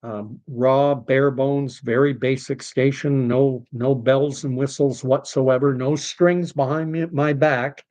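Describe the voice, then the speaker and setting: A middle-aged man hosting a late-night livestream, rambling and opinionated as he reads and answers viewer chat, his voice tired and getting hoarse. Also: medium pitch, fairly even intonation, slow, few pauses, darkish tone. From Canada. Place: home streaming setup.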